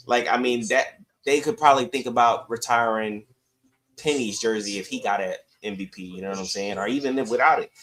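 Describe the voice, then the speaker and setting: A man with a mid-pitched voice talks sports as a podcast co-host. He keeps it casual and slangy, leaning hard on his points for emphasis. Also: a little fast, fairly bright tone.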